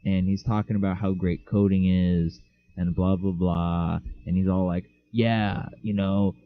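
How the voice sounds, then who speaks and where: A man's voice: sits low, little pitch movement, a little slow. Teen guy, casual podcast chat, rambling, muffled lo-fi recording. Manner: rambling, lots of "like" fillers. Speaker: podcast co-host. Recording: dull lo-fi mic.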